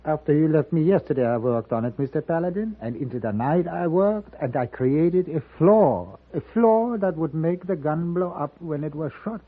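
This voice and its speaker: An actor playing a kindly, earnest elderly German gunsmith in a 1950s Western radio drama, speaking with a German accent. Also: male voice, fairly high pitch, expressive, normal speed, hardly any pauses. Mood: earnest, grateful. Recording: muffled phone-like old radio recording.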